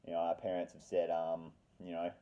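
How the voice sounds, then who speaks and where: A man's voice: low voice, monotone, fairly quick, warm tone. A man telling a casual, rambling personal anecdote with slangy swearing and dramatic repetition. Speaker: storyteller.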